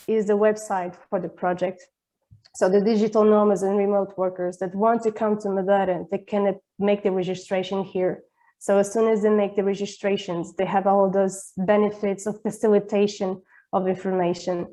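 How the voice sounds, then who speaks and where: A woman presenting a project in a webinar, speaking haltingly with repeated words. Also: mid-range voice, dark tone, poor audio. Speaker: presenter of a digital nomad project.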